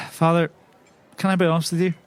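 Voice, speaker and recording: High-pitched man improvising roleplay. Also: level tone, normal speed, smooth. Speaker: tabletop roleplay podcast player. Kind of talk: improvised in-character roleplay chat.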